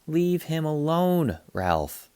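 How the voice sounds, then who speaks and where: A man voicing a gentle, encouraging mother in a children's storybook, soft and reassuring. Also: a little high, big pitch swings, extremely slow, smooth, no room sound, pitched above the performer's own voice, full character voice.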